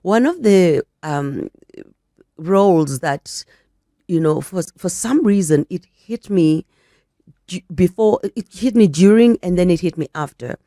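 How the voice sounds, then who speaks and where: A croaky woman in her sixties talks in a low, mellow voice, taking her time as she looks back. The sound is dry and close, with nothing of the room in it. She is a bit hoarse from a flu. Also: animated, dry recording. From South Africa. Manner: reflective, measured storytelling. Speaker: actress and guest. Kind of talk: radio interview.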